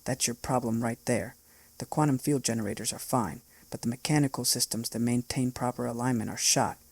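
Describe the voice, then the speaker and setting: A man's voice: mid-range voice, brisk, breathy edge, dry recording. Man doing a seasoned human mechanic. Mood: matter-of-fact.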